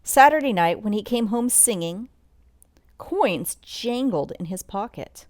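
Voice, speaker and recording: A woman reading a children's bedtime story aloud in an expressive read-along style, sometimes commenting as she goes. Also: medium pitch, a little slow, dry recording, clean studio sound. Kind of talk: bedtime story read-along narration with asides about pictures.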